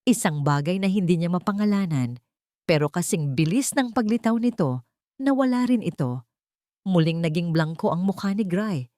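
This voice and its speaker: A woman with a Filipino accent narrating a scripted romantic drama story in a dramatic, measured storytelling manner. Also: lowish voice, animated, mellow, no echo, clean studio sound.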